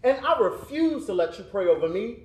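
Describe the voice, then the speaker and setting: A man's voice: high voice, big pitch swings, fairly quick, darkish tone, reverberant. An actor playing a blunt, defiant young man who challenges a pastor in a stage play.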